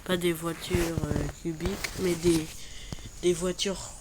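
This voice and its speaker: Woman, congested from a cold; slow, low, repetitive game chatter over noisy background. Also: little pitch movement. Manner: slow, repeats words while building. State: has a cold, stuffy voice. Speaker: Minecraft YouTuber. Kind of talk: casual gameplay commentary.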